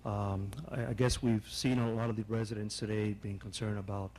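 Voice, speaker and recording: A man's voice: fairly low pitch, flat delivery, a little fast, background noise. A man giving firm public comment as a longtime resident, urging officials to listen.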